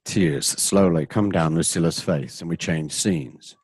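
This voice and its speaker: A man's voice: low-pitched, a little slow, darkish tone, breathy edge, no room sound. A man narrating a radio play from a script in an informal, dramatic way, with casual asides.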